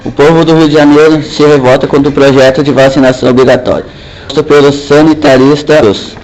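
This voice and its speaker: A man's voice: on the high side, steady tone, a little fast, darkish tone, low-quality recording. A man reading out a reporter's statement in a radio drama, listing things urgently. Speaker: newspaper reporter.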